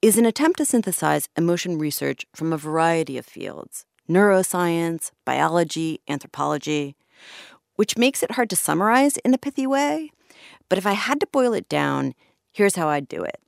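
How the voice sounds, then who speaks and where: A woman's voice: on the low side, lively intonation, normal speed, gravelly, no room sound, studio quality. A woman working as a podcast host and reporter, explaining stories in a conversational narrating style.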